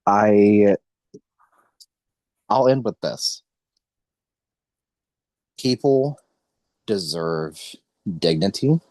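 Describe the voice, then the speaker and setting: A young adult man from the United States hosting an interview podcast, speaking earnestly with emphatic, deliberate phrasing. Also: fairly low pitch, lively intonation, darkish tone, dry recording.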